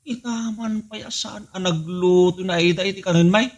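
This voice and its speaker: A man's voice: high-pitched, fairly even intonation, moderate pace, lo-fi sound. A man voicing an exasperated husband who scolds his wife. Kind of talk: comedy radio drama acting.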